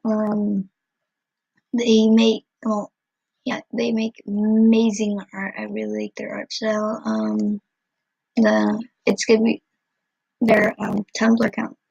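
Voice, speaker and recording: A woman comic dub creator talking casually and haltingly to her viewers. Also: medium pitch, completely flat delivery, gravelly, darkish tone, breathy edge, no echo, highs cut off. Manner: hesitant, halting. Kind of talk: casual video outro to viewers.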